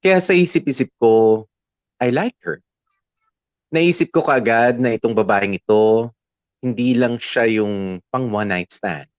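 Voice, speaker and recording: A man's voice: medium pitch, unhurried, pauses often, smooth, dry recording, natural voice. An actor playing a smitten young man telling his romance in candid, conversational Taglish narration. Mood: reflective, smitten. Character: lovestruck young male letter writer recounting his romance. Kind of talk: confessional first-person Taglish drama narration. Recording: dull, dry close recording.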